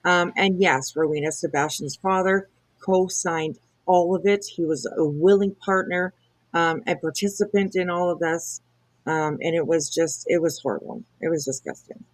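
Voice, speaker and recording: A woman co-hosting a casual commentary livestream, explaining things in a halting, rambling way. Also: lowish voice, fairly even intonation. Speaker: livestream co-host.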